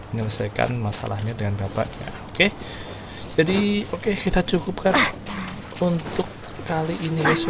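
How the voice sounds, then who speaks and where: A man's voice: medium pitch, gravelly. A man with an Indonesian accent giving casual playthrough commentary as a gaming video host. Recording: loud game music behind. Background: game music.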